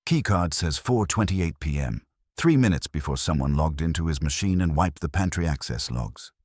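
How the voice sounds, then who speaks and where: A man's voice: very deep voice, expressive, moderate pace, slightly rough, no room sound, studio quality, heavily acted. A man voicing a wry, cool private investigator in a detective audiobook, wisecracking as he probes. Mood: pressing. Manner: wisecracking, probing.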